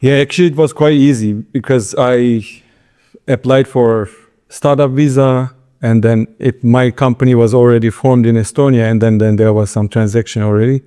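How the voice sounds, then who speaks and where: A man with a Turkish accent giving informal interview answers, halting and restarting his words as he goes. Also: medium pitch, fairly even intonation, warm tone, clear, resonant tone. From Istanbul, Turkey. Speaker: interview guest, entrepreneur.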